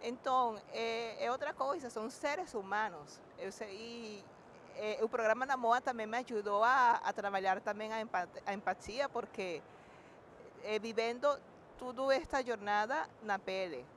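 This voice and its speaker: A woman in her forties whose first language is Spanish, with a Spanish accent, speaking haltingly and reflectively into a handheld mic at a panel. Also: on the high side, a bit bright, a little hiss or hum. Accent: Spanish-speaker's Portuguese. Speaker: panel guest. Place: large indoor event hall.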